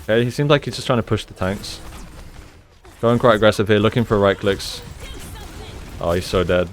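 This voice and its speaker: A man's voice: lowish voice, moderate pace, soft background music. A man giving casual, conversational commentary as he analyses gameplay like a coach, explaining each move.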